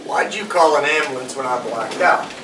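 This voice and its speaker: A man's voice: medium pitch, normal speed, close to an everyday voice. An actor at a live table read, playing a brash but remorseful young man who talks casually and slangily. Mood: defensive, irritated. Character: brash young male slacker, remorseful self-confessed jerk. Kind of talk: live staged script reading. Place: live staged event in a room. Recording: roomy, distant microphone, faint noise.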